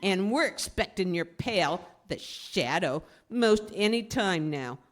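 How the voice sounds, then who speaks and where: A menacing yet jumpy gangster sidekick, acted by a woman in vintage radio drama style. The talk is slangy and drawn out, with big swings in pitch. It echoes in a large hall. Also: expressive, takes it slowly. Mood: gloating. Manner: slangy tough-guy talk. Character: male gangster henchman, menacing but jumpy thug. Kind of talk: 1930s radio crime drama acting.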